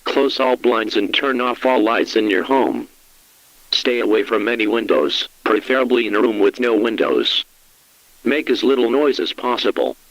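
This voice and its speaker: A man's voice: mid-range voice, fairly bright tone, dry recording, close to an everyday voice, low-quality recording. A man voicing an impersonal, solemn emergency alert announcer, reading scripted official notices in a formal, instructional way. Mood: impersonal, urgent.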